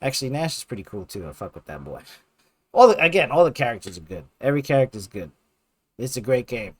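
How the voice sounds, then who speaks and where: A man giving casual, excited video game countdown commentary in a gushing, slangy, emphatic way with heavy swearing. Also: medium pitch, fast talker, no room sound. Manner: gushing, heavily profane.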